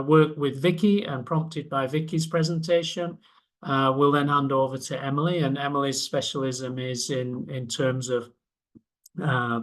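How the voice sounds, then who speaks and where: A man hosting an online webinar, speaking in a halting way with many fillers and restarts. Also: medium pitch, fairly bright tone. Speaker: webinar host.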